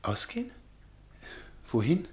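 An actor playing a timid, reclusive scholarly professor in a radio play, hesitant, mild and self-doubting. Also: male voice, slow, a bit bright. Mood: mild, uncertain. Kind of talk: German radio play acting.

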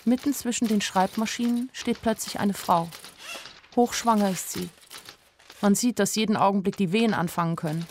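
A woman's voice: mid-range voice, normal speed, darkish tone, a little hiss or hum, natural voice. An actress playing a naive young woman who tells her story candidly and wryly in a radio play. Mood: wry narrating. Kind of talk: radio play first-person narration.

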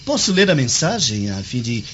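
Pompous Roman master, radio play, swooping intonation, deeper voice, imperious. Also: male voice, big pitch swings, normal speed, a bit bright, dull audio, slight background noise. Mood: teasing, condescending. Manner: pompous, theatrical. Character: haughty male Roman aristocrat, imperious and teasing. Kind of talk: Brazilian radio drama acting.

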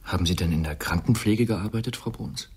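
An actor playing an anxious, courteous family friend, earnest in delivery, in a radio drama. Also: male voice, sits low, brisk, a little mellow, breathy edge. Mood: subdued, polite. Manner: polite, earnest.

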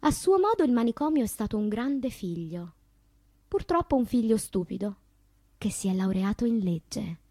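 An actress playing an anguished woman poet in a theatrical, declamatory monologue with long pauses. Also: a little high, very animated, mellow, no room sound, clean studio sound. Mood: intense, musing. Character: anguished woman poet recalling loves, madness and death. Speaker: stage actress.

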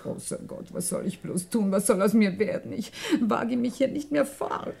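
A woman's voice: mid-range voice, normal speed, vocal fry, darkish tone, breathy edge. An actress playing an anxious, talkative widowed landlady in a 1960s German radio crime drama, exclaiming dramatically. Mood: fearful.

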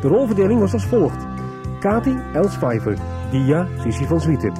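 A man's voice: a little high, sing-song, moderate pace, music in the background. A man as a radio announcer reading scripted closing credits for a soap.

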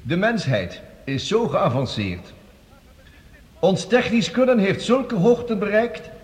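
A grandiose professor in a radio drama. His high, widely swinging voice declaims solemnly, echoing through a large hall. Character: eminent, grandiose male professor delivering an oratorical address. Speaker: actor. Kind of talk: Dutch radio play acting, oratory. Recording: echoing hall, background noise.

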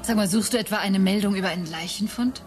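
Sardonic middle-aged wife played by an actress, medium pitch. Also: female voice, fast, faint music behind, natural voice. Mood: needling. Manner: blunt, sardonic, complaining. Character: nagging, sceptical, needling middle-aged wife. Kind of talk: German radio drama acting.

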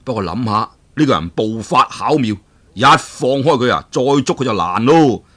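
A man from Hong Kong telling a martial arts tale in a dramatic, animated storyteller's manner. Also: mid-range voice, normal speed. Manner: animated, dramatic, chuckling asides. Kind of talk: traditional Cantonese storytelling of a martial arts novel.

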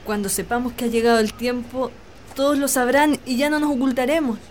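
Woman acting high-voiced lovestruck young lady. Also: fairly even intonation, brisk, slight background noise, natural voice. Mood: hopeful, insistent. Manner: earnest, pleading. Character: lovestruck, determined young daughter of a landowner. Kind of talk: romantic radio drama acting.

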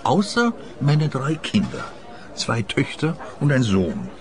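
A man's voice: medium pitch, huge pitch swings, takes it slowly. An actor playing a genteel, frail man in his seventies, chatty and superstitious, in radio play dialogue. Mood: aggrieved. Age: 70s. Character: contented, frail seventy-year-old pensioner, superstitious and plaintive.

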